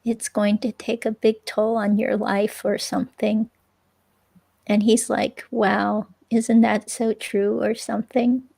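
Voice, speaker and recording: Slow, creaky-voiced woman acting a hesitant ex-girlfriend. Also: medium pitch, level tone, warm tone. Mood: confiding. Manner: hesitant, halting, casual. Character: hesitant, chatty ex-girlfriend being questioned by police. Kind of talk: dramatic reading of an interview transcript.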